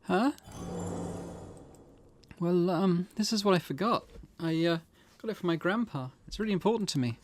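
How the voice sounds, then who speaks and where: A man voicing an anxious, earnest teenage schoolgirl with a hesitant, halting way of talking, for a visual novel game. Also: high-pitched, lightly acted.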